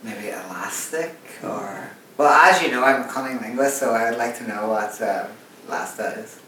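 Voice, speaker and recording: A man's voice: fairly low pitch, moderate pace, sharp tone, distant mic, a little hiss or hum. An Irish man, a native Irish speaker, hosting a podcast in a wry, jokey way. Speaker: podcast host. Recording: very echoey room, away from mic.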